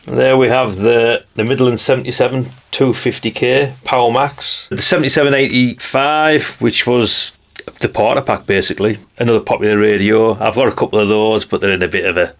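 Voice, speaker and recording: A man's voice: medium pitch, steady tone, brisk. A middle-aged man with a North East English accent giving a casual, chatty show-and-tell, rambling along in a nostalgic, down-to-earth way. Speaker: hobbyist video presenter.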